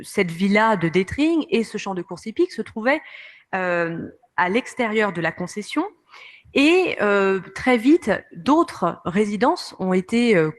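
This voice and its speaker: A woman giving a history lecture to an audience over slides, speaking hesitantly with many fillers and restarts, and addressing listeners directly as she explains each picture. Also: medium pitch. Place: conference room.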